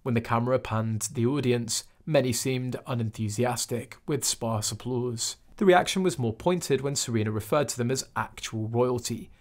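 A man reading a scripted celebrity news narration in an even, uninflected way. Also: medium pitch.

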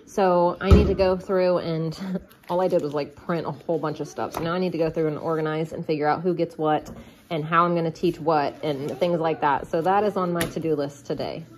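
A woman's voice: sits low, fairly even intonation, fairly quick. A woman in her thirties narrating casually while cooking at home, thinking aloud as she works. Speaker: homemaking vlogger. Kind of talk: casual kitchen vlog narration. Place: home kitchen, indoors. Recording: noisy kitchen with clicking sounds.

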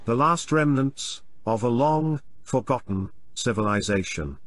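Male narrator, theatrical fantasy storytelling, portentous, unhurried pace, animated intonation. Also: mid-range voice. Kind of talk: dramatic scripted fantasy narration.

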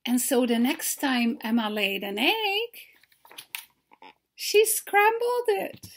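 A woman in her sixties telling a story to children as its author, pausing to put questions to them. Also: high voice, big pitch swings. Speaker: children's author reading aloud. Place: book-lined home study.

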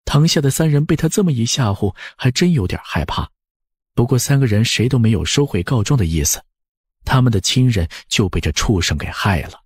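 A man with a husky voice reading a dramatized scripted story as an audiobook narrator. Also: medium pitch, darkish tone, no echo, studio quality.

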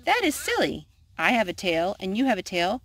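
Woman playing an eager, animated storybook skunk. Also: medium pitch, moderate pace. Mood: puzzled. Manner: animated, questioning. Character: eager, questioning young male skunk in a children's storybook.

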